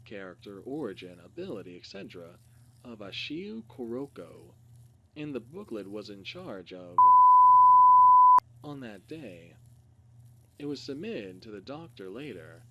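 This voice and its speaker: A man reads a scripted case file as narration, in a halting, word-by-word way. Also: on the low side, very animated. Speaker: reader. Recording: clearly noisy recording with hum.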